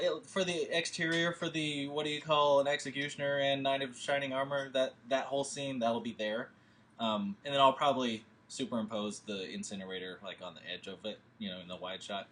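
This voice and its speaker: Rambling casual man, mid pitch. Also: steady tone, moderate pace, a bit bright. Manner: rambling, hesitant, many fillers. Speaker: filmmaker leading a table read. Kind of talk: casual discussion.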